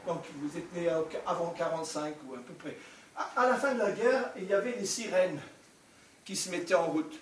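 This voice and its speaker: An older man from Scotland, preaching a humorous, animated sermon with asides. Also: sits high, normal speed, a little hiss or hum. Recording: echoey hall, distant mic.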